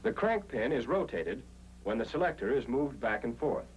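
American man, animated 1940s training-film narrator. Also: mid-range voice, moderate pace. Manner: methodical, explanatory. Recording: noisy 1940s film soundtrack with hum.

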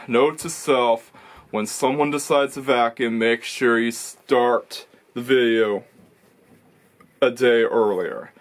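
A man's voice: medium pitch, steady tone, slow. A young man talking casually to camera as a racing video reviewer, rambling and correcting himself as he explains. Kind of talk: casual vlog commentary. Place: small indoor room full of toy shelves. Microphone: camera or webcam in front of him.

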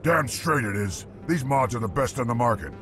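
A man's voice: mid-range voice, expressive, normal speed, over music, natural voice. An actor voicing a menacing reptilian male alien arms dealer, surly and dismissive. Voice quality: gravelly. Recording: game audio with loud score.

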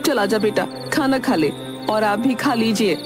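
A woman's voice: on the high side, a little slow, music in the background, lightly acted. A woman voicing a homely, caring but nagging village mother in a cartoon story.

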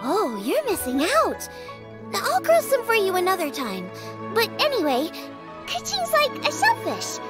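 A woman's voice: very high-pitched, huge pitch swings, measured pace, heavily acted. A woman voicing a cheerful, friendly teenage girl cook in an anime-style video game, upbeat and casual. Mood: earnest. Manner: upbeat, casual, food-minded. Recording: music under the voice. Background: game music.

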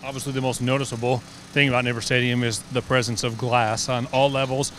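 Brisk male reporter at a stadium site. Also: mid-range voice, flat delivery, a bit bright, a little hiss or hum. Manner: brisk, upbeat reporter delivery. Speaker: host. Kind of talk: scripted sports-network interview. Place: outdoors in an unfinished stadium under construction. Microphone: handheld microphone.

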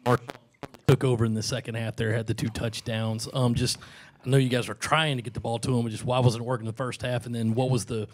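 Male reporter, mid pitch, brisk pace, casual press conference question. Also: flat delivery.

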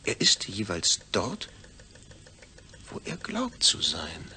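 An actor playing a pensive astronaut in a deliberate, theatrical audio drama soliloquy. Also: male voice, lowish voice, huge pitch swings, pushed and bright, exaggerated voice. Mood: searching, puzzled. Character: pensive astronaut man puzzling over his own detached brain. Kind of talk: German audio drama monologue.

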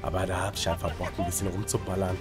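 A man's voice: sits low, level tone, fast. A man with a slight cold giving casual gameplay tips in a colloquial way, with English gaming slang and frequent tag questions. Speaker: gaming guide creator and streamer. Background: music under the commentary.

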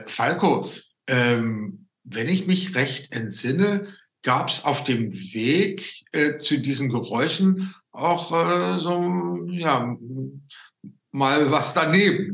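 Slow-talking older man, echoey room. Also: medium pitch, fairly bright tone. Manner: hesitant, tagging phrases with questions. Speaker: interview host. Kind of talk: casual interview chat. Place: indoor room, video call. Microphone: video call. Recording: echoey room over video call.

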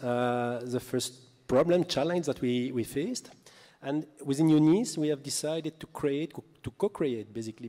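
A man in his thirties presenting on a conference panel in a halting, hesitant way, speaking into a table microphone. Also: mid-range voice, mellow. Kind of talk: semi-scripted presentation. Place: indoor hall, panel table. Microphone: table microphone on stand. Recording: reverberant hall sound.

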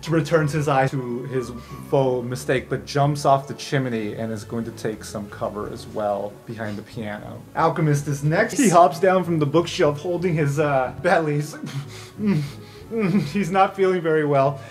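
A man's voice: a little high, fairly even intonation, unhurried. Male game master over music. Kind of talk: improvised tabletop game narration.

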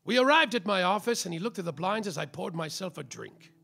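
An actor playing a world-weary, tipsy private detective in a slapstick noir radio play, with melodramatic hard-boiled narration. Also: male voice, sits high, animated, brisk, gravelly, background noise. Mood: gloomy, wry.

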